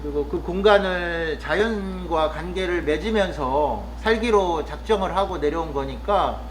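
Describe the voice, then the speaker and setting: A man from Korea's Chungcheong region talking casually and reflectively to the camera, pausing often with fillers as he muses. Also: fairly high pitch, slight background noise, low-quality recording. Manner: thoughtful, musing, with frequent pauses and fillers. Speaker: vlogger living in the countryside.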